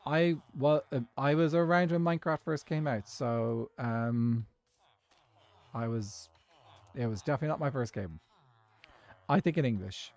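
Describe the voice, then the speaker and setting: A man's voice: medium pitch, unhurried, long pauses, a little mellow. Chatty Irish man streaming a game. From Ireland. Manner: rambling, chatty, reads and answers chat. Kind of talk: casual livestream chat while gaming. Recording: faint music in background. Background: faint background music.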